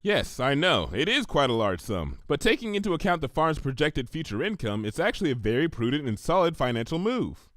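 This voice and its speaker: A man's voice: on the high side, big pitch swings, a little fast, few pauses, fairly bright tone, no room sound, clean studio sound. A man voicing a prim, studious young female unicorn pony with a precise, pedantic, dignified way of talking, in a fan fiction reading. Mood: reserved, proud.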